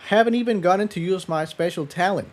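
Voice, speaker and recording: An eager, cheerful young pegasus mare from a storybook , acted by a man. The voice is pitched well up and kept soft, with quicker delivery than the narration. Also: lightly acted. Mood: wistful. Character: excitable, cheerful young female pegasus pony.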